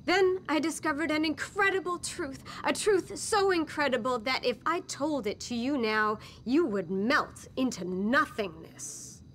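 An actress playing a serene, evasive cult recruiter in a satirical video game, speaking in a solemn, cryptic, rehearsed way. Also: female voice, sits high, animated, a little slow, hardly any pauses, a bit bright, slight background noise.

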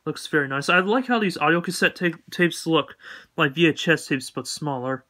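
A man giving a casual, unscripted collection update in a halting, repetitive, matter-of-fact way. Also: on the high side, expressive, sharp tone. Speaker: collector showing purchases.

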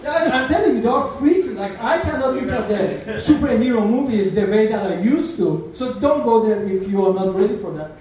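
Persian accent guy doing standup, high-ish voice, super echoey club, mic far off. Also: brisk, hardly any pauses. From Iran. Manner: animated, slightly broken English phrasing. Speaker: stand-up comedian. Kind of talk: stand-up comedy set. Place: small comedy club stage, indoors. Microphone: handheld mic, heard from a far camera.

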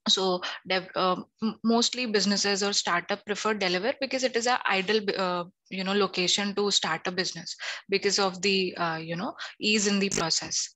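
A woman's voice: medium pitch, fairly even intonation, normal speed, bright, forceful tone. A young woman from India on a headset video call, explaining law hesitantly with many fillers. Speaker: legal analyst guest.

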